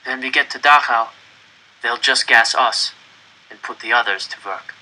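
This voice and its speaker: A man's voice: mid-range voice, moderate pace, bright, forceful tone, poor audio. A man voicing a grim, resigned prisoner who speaks bleakly and matter-of-factly in a storybook reading. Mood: grim resignation. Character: grim, bitterly resigned male train prisoner.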